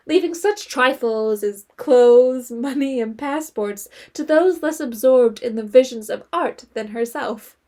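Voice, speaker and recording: An actress narrating a period novel in an audio drama as a wry, composed storyteller. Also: female voice, high voice, a little mellow.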